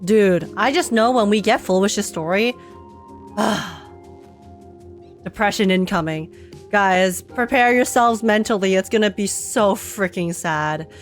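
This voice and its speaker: A woman streamer giving casual, excitable commentary while playing a game, chatty and quick to swear and laugh. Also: medium pitch, faint music behind.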